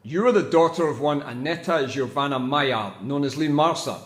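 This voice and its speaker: A stern, accusing man acted for audio drama puts formal questions as an official interrogator. His voice sits slightly high and echoes strongly in the room. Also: expressive, normal speed, natural voice. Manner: formal, prosecutorial.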